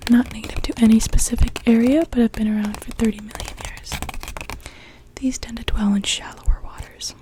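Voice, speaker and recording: A woman voicing a calm, mysterious sea-cove witch in an ASMR roleplay, speaking gently and slowly in a soft breathy whisper. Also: medium pitch, natural voice, poor audio. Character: calm mysterious cove witch, part mermaid, potion brewer.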